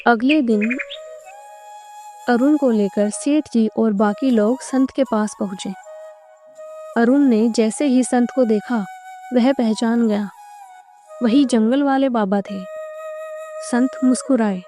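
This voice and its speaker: A woman narrates a cartoon moral tale from a script. Her voice is soft-edged and mellow, sitting fairly high, with light music behind her. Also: takes it slowly, pauses often.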